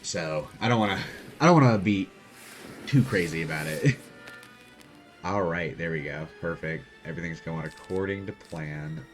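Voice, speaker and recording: A soft-spoken young man casually commenting on his gameplay as host. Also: deep voice, moderate pace, faint music behind. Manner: quiet, soft-spoken, laid-back. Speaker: gaming channel host.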